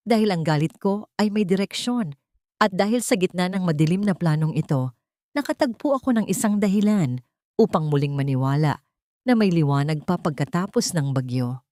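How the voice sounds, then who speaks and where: A woman's voice: lowish voice, expressive, moderate pace, dark tone, dry recording, clean studio sound, natural voice. A steadfast man bent on revenge , acted out by a woman narrator speaks with a Filipino accent. He is earnest, tense and resolute, in a dryly recorded romantic melodrama. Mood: earnest, tender. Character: steadfast, intense man plotting revenge, devoted lover.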